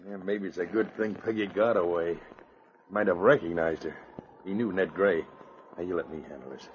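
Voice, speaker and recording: Man as gruff, wily outlaw, old radio. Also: medium pitch, lively intonation, fairly quick, close to an everyday voice. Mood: sly. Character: gruff, wily male outlaw sled driver, bossy. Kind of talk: 1940s-50s radio villain acting. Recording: dull, hissy vintage radio recording.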